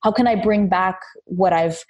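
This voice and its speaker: A woman's voice: lowish voice, moderate pace, darkish tone. A young adult woman designer who grew up between Armenia and Los Angeles, talking reflectively and with enthusiasm in an interview, with fillers and restarts. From Armenia, raised in Los Angeles. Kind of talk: casual interview.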